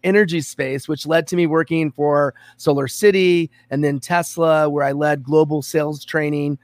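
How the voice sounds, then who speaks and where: A man's voice: a little high, steady tone, moderate pace, no room sound. Guy from american samoa raised in LA, earnest chatty guest, dry close mic. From born in American Samoa, raised in Los Angeles. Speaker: podcast guest. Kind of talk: conversational interview.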